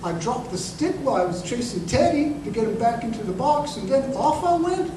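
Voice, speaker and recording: A man's voice: very high voice, big pitch swings. Man acting aging crippled veteran, bitter and humble, rustic storyteller, old-time radio style, echoey room, noisy recording. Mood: urgent confession. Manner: halting, rustic grammar, impassioned storytelling. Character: aging, crippled, humble ex-soldier turned wandering conjurer, bitter and wistful. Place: live performance in a museum. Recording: roomy, distant, noisy live stage recording.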